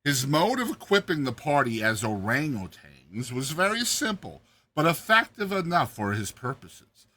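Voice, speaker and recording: A man narrating a classic short story in a dramatized audiobook style, with deliberate, theatrical phrasing. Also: a little high, very animated, sharp tone, husky.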